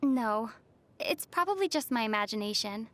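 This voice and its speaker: Young heroine of a fantasy game, high voice, gentle, composed, wistful, dryly teasing. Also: female voice, expressive, moderate pace. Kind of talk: video game voice acting.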